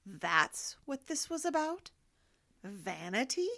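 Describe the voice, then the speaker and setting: A sinister female interrogator played by a woman who speaks slowly and deliberately. She is scornful, condescending and articulate in a horror audio drama. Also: a little high, sing-song, sharp tone, exaggerated voice. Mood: sinister amusement.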